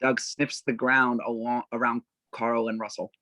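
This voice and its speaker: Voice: mid-range voice, little pitch movement, normal speed, pushed and bright, no echo. A narrator reading stage directions informally at a casual table read, a little amused.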